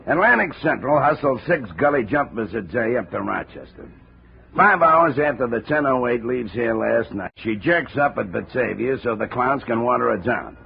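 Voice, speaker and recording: An actor playing a gruff, aging railroad detective who talks in heavy railroad slang, in a 1950s radio drama. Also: male voice, medium pitch, expressive, normal speed. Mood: briefing. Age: older. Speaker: railroad cop. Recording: dull old radio transcription with faint hiss.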